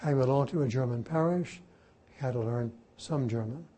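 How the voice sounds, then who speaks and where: A man's voice: medium pitch, moderate pace, warm tone, breathy edge. An older man, a Jesuit priest whose first language was Slovak, teaching a class informally and spelling words out letter by letter. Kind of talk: informal lecture and Q&A.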